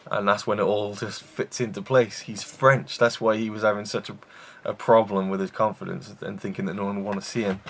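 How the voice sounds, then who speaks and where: A man's voice: fairly low pitch, little pitch movement, brisk, creaky, few pauses, fairly bright tone, husky. A man hosting a casual film review show, rambling with enthusiasm and many fillers and restarts.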